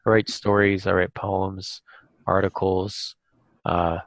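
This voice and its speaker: A man's voice: sits low, flat delivery, slow pace, dry recording. A man chatting casually as a podcast co-host, with a joking, self-deprecating manner.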